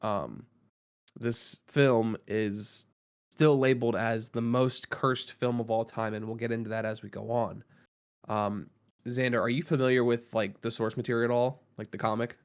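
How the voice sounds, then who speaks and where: A man with a gravelly, rough-edged voice talks casually as a podcast co-host. He goes over background at length, with plenty of ums and restarts, at a middling pitch for a man. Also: fairly even intonation, normal speed.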